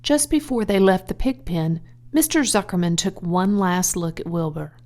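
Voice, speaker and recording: A woman narrating a children's audiobook, reading scripted prose in an expressive, clearly phrased way. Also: lowish voice, normal speed.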